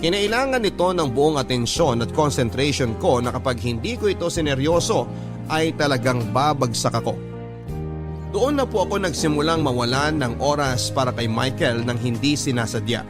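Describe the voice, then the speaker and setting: A man's voice: medium pitch, expressive, moderate pace, lightly acted. A man narrating a letter of college heartbreak in scripted radio storytelling style. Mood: reflective, earnest. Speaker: radio drama host reading a letter. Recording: music bed under the voice. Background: background music.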